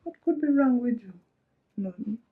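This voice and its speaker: An actress playing an ailing Jamaican woman in a hospital bed, speaking in a hushed, breathy whisper with a Jamaican accent. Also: fairly high pitch, animated, moderate pace, narrow, tinny audio. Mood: teasing. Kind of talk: film drama dialogue.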